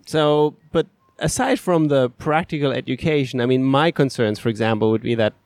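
A man interviewing a guest, asking measured, thoughtful questions in a conversational way. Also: medium pitch, darkish tone, no room sound.

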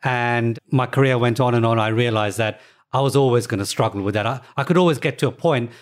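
A middle-aged man, the guest of an interview, talking in a relaxed, thoughtful way with plenty of "you know" fillers. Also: mid-range voice, fairly quick. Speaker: guest, author of language books.

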